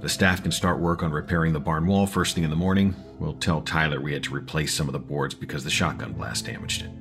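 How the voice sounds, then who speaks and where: A man's voice: extremely low pitch, fairly even intonation, fast, creaky, fairly bright tone, soft background music, full character voice. An actor playing a grave, responsible father in a horror audio drama, somber and resolute. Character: grave, responsible father running a family campground.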